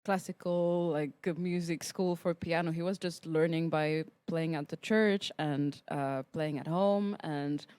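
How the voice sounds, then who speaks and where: Young woman from Switzerland, interview guest, lowish voice, laughs a lot, dry close sound. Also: fairly even intonation, unhurried, hardly any pauses, dark tone, clean tone, no room sound. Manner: laughs often between phrases, with many ums and restarts. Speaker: guest musician. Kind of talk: casual interview storytelling. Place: podcast studio.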